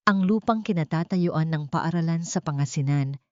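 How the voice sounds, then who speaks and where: A woman voicing a solemn male lawyer in an audiobook, grave, formal and deliberate. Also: sits low, darkish tone, dry recording, dull audio, natural voice. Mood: grave, revealing. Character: solemn male lawyer revealing old secrets.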